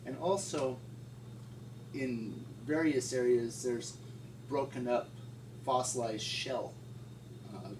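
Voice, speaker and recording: A middle-aged man reminiscing informally as an interviewee, in a halting, folksy way. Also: medium pitch. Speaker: paleontologist interviewee. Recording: distant mic, echoey room, loud noise.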